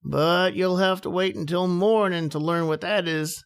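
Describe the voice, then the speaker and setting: A man's voice: sits high, steady tone, a little slow, smooth. A man playing a genial, proud old inventor professor in a radio drama, theatrical and avuncular. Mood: proud, expansive.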